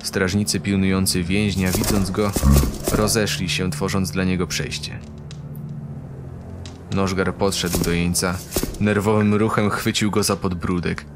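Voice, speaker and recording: Deep male narrator over loud music. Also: level tone, unhurried. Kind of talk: dramatic scripted fantasy narration. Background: loud background music.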